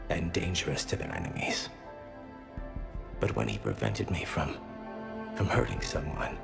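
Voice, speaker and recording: Faint music sits behind a grieving young alien from a video game. His voice is extremely low and heavily gravelled, his manner formal, halting and solemn. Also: heavily acted. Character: grieving young male alien, solemn, courteous, reformed son. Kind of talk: video game cutscene acting.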